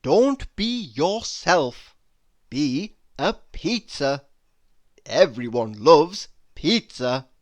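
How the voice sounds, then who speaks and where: A man reading humorous quotes aloud in a deliberate, mock-inspirational manner. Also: a little high, very animated. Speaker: reader.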